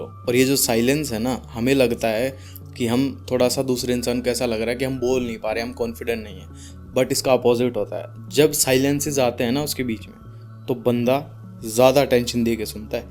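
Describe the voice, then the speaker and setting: A young man with an Indian accent and a deep voice gives informal speaking advice calmly, without strain, mixing in English words and leaving deliberate pauses. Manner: calm, unforced, deliberate pauses; mixes English words into speech. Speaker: speaking coach. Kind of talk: informal advice talk to camera.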